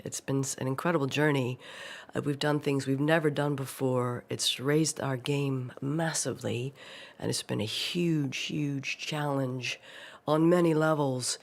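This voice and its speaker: A woman, a musical director, talking in an informal interview. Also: rumbling low.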